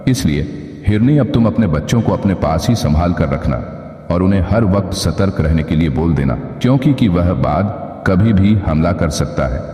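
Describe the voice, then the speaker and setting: A deep, dark-toned bear, played by a man, solemn and concerned. He talks gravely and earnestly, like a jungle elder in a cartoon, in a heavily reverberant recording. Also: lively intonation, moderate pace. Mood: grave warning. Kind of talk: animated animal story dialogue. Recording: echoey with long reverb.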